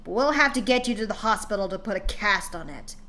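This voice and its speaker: A woman voicing a cruel, abusive male pony father who speaks loudly with a gloating, growling edge. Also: mid-range voice, lively intonation, quick pace.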